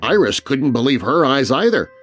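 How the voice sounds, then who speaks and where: Guy reading a spooky kids story, dramatic and very animated, music underneath. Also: medium pitch, normal speed. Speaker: narrator of a children's horror story podcast.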